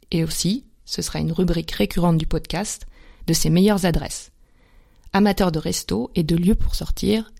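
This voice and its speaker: A woman's voice: on the low side, creaky, dark tone. A woman hosting a podcast, reading a scripted intro and then interviewing with many hesitant fillers. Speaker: podcast host.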